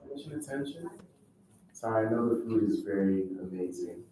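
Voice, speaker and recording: A man's voice: low-pitched, fairly even intonation, a little fast, dark tone. A young man hosting a student event, politely giving informal announcements and instructions to a group. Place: large indoor room at a student event. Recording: very echoey room, distant mic, noisy.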